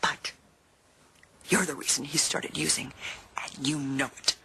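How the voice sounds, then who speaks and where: A woman's voice: deep voice, little pitch movement, unhurried, creaky, pushed and bright, slight background noise, full character voice. An actress playing a middle-aged, wounded and unforgiving mother in a television drama, with an accusing, emphatic delivery. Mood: accusing, bitter. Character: wounded, unforgiving mother confronting her best friend.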